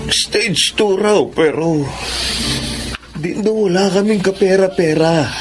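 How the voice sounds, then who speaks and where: An actor with a Filipino accent playing a guilty thief who longs to reform, in a radio-style drama. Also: male voice, high-pitched, takes it slowly, over music. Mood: troubled, guilty. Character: guilt-ridden male thief wanting to reform.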